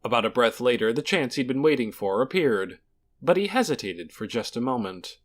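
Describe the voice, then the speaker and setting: Male narrator for fantasy fiction, dramatic scripted read with very lively, varied intonation. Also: medium pitch, normal speed. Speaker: audiobook narrator.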